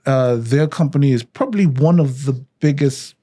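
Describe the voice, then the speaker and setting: A Nigerian man hosting a casual podcast chat, animated and restarting his sentences often. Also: medium pitch, normal speed. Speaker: podcast host.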